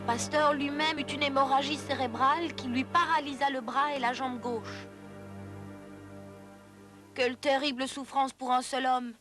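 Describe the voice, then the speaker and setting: High female voice, scripted cartoon narrator, explanatory, storytelling delivery, music behind. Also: fairly even intonation, unhurried.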